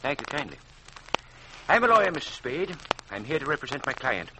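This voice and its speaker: A man's voice: mid-range voice, big pitch swings, fairly quick, close to an everyday voice. A man playing a polite, evasive gangsters' lawyer in an old-time radio drama, courteous and a little hesitant. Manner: courteous, hesitant, lilting questions. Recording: very noisy, crackly vintage radio recording.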